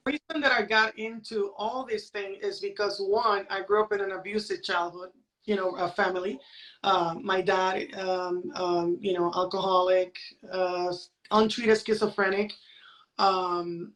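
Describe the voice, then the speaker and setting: A woman's voice: mid-range voice, steady tone, a little slow, a bit bright, low-quality recording. Lady, late 40s, casual podcast guest explaining stuff, says right? a lot. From San Juan, Puerto Rico. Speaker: podcast guest, crypto educator. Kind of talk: casual conversational interview.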